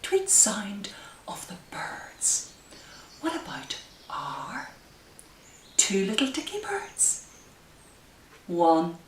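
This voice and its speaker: Echoey, unhurried woman telling children's stories. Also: mid-range voice, slight background noise. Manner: gentle, addressing small children with questions. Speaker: community librarian hosting children's story time. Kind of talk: children's storytelling with songs and rhymes. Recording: roomy echo, distant microphone.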